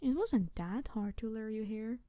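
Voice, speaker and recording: Woman acting a high-voiced, snarky female supervillain. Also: lively intonation, dark tone, no echo. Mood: smug, gloating. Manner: theatrical, taunting, deliberate, slipping into breathy whispers. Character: snarky, bitter female supervillain gloating over her captive nemesis. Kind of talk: ASMR audio roleplay monologue.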